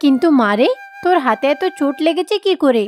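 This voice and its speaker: A woman's voice: high-pitched, lively intonation, fairly quick. A woman voicing a kindly village aunt in a cartoon fairy tale, affectionate, chatty and reassuring. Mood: caring, reassuring. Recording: background music under the voice.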